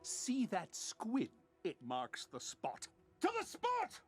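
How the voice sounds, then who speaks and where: An actor playing a bombastic old-time gentleman adventurer in a video game, pompous and theatrical. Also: sits high, huge pitch swings, takes it slowly, breathy edge, faint music behind, heavily acted. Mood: triumphant, eager. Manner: pompous, grandiose, exclamatory.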